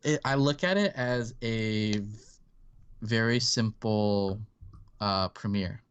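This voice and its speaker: A man's voice: fairly low pitch, takes it slowly, clear, resonant tone, highs cut off. A man from Dallas, a guest artist chatting casually on a live drawing stream, rambling and swearing now and then. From Dallas, Texas.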